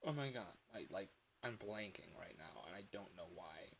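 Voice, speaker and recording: A man's voice: low-pitched, animated, moderate pace, a bit bright. A man chatting casually on a livestream in a rambling, distracted way. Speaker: game developer and livestream host. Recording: clear background hiss.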